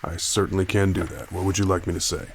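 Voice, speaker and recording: An actor playing a careful radio reporter in an audio drama, negotiating in a halting, reassuring, weary manner. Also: male voice, deep voice, little pitch movement, gravelly, slight background noise. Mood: gentle, reassuring. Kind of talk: naturalistic audio drama acting.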